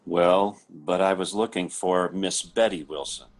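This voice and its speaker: A man acting in a 1940s-style radio drama as a courteous, bewildered uncle back from travels, polite and halting. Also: deep voice. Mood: bewildered, dismayed.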